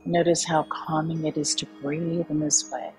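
A woman leading a guided meditation in a calm, soothing, unhurried way with long pauses. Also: deep voice. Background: soft background music.

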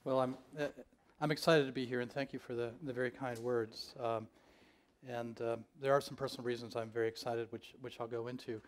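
An older man giving a conference keynote on a large stage, speaking in a measured, thoughtful way with frequent ums and uhs. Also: mid-range voice, fairly even intonation, a little hiss or hum.

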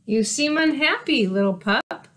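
A gentle father dog from a children's storybook, performed by a woman. He speaks slowly and coaxingly, as if sharing a secret, in a voice that is high for an adult. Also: animated.

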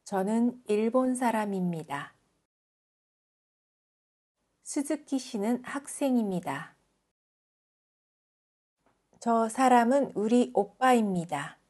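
Slow, higher-voiced woman teaching Korean. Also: animated, a little mellow. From South Korea. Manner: careful, deliberate enunciation. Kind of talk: scripted reading of example sentences.

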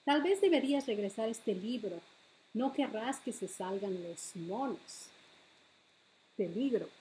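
A middle-aged woman reading a picture book aloud to preschoolers in an expressive, deliberate, bilingual storytime manner, recorded at home. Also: on the high side, a little hiss or hum, rough recording. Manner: expressive, deliberate, switching between English and Spanish. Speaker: children's librarian, storytime host. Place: home living room.

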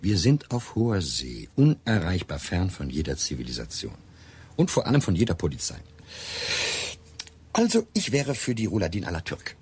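An actor playing a calm, evasive fugitive doctor in a German radio drama, smooth-talking and reassuring. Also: male voice, low voice, normal speed, exaggerated voice. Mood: calm reassurance.

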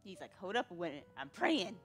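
A woman's voice: lowish voice, sing-song, a little fast, faint music behind. A woman gamer giving casual, slangy, offhand commentary over game cutscenes.

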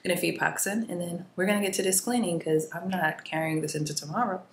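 A woman's voice: lowish voice, steady tone, quick pace, slight background noise. A young woman vlogger chatting casually about cleaning her living room, sounding tired and rambling with loose filler words. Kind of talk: casual chat. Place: bright home living room.

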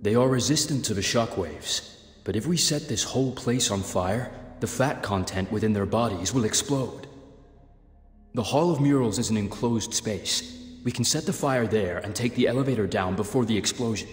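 A grave, dutiful young crime clan boss, played by a man for a game cutscene, speaks in a lowish voice with formal, stoic restraint. His words ring out in a big reverberant space over music. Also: normal speed, natural voice. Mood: commanding, focused. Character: solemn young male underworld clan leader, dutiful, grave. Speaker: video game voice actor. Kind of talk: dramatic game cutscene acting.

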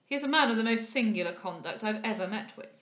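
A woman voicing an articulate, disapproving society gentleman in a period novel, speaking in formal judgement. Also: mid-range voice, expressive, fast, lo-fi sound, close to an everyday voice. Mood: critical. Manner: formal, eloquent, judging. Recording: echoey room.

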